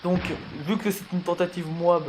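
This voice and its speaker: A man's voice: high-pitched, flat delivery, normal speed, very noisy. A sixteen-year-old male, giving casual, stumbling and apologetic commentary on a video game. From Paris, France. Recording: loud music and game gunfire behind. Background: loud music, video game gunfire.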